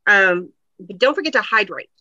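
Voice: medium pitch, expressive, normal speed, sharp tone, no room sound. A speaker giving friendly practical advice in a chatty way, explaining step by step with many ums. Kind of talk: casual advice chat. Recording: dull lo-fi audio.

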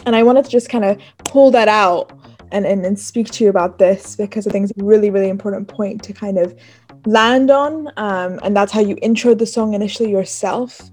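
A woman's voice: medium pitch, fairly quick, darkish tone. A woman interviewing a musician, affirming her often and asking long questions. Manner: affirming, repeats "beautiful".

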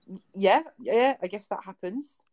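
A woman's voice: mid-range voice, a little slow, a little mellow. A woman based in Kent, co-hosting a casual podcast chat, friendly and laughing often as she asks questions. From England (Kent). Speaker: podcast co-host.

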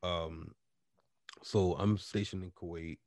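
A man with a deep voice telling an animated story in casual chat with friends, swearing freely and stumbling on restarts. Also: takes it slowly, no echo. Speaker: podcast co-host telling a story.

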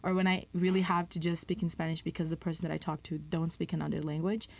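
A young woman from Brazil whose first language is Portuguese, speaking reflectively in a podcast conversation with many pauses and fillers. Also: low voice, flat delivery, gravelly.

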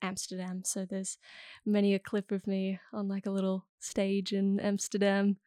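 A woman's voice: mid-range voice, completely flat delivery, moderate pace, dark tone, smooth, no room sound, clean studio sound. A young woman in her early twenties, a musician guest in a casual interview, friendly and giggly with lots of fillers. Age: early 20s.

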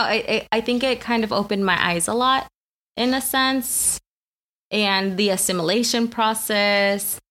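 A woman's voice: medium pitch, a bit bright. A young woman co-hosting a podcast, born in Mexico and raised in South Texas, talking casually with many fillers and restarts. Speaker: podcast co-host. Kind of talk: casual chat.

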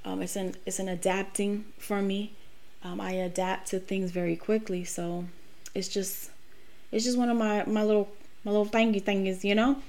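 Podcast host, woman, late 30s, frank and emphatic, swears freely, mid-pitched voice. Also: steady tone, moderate pace, a little mellow. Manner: candid, profane, emphatic. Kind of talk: personal monologue.

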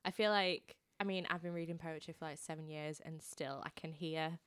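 A woman's voice: low-pitched, fairly even intonation, a little fast, fairly bright tone, clean tone. A woman co-hosting a podcast, chatting casually and asking the guest questions. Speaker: podcast co-host. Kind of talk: casual chat.

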